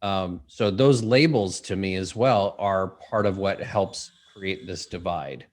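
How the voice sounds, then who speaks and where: Host guy, lowish voice, measured and reflective, trails off at the end of sentences. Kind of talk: casual conversational interview.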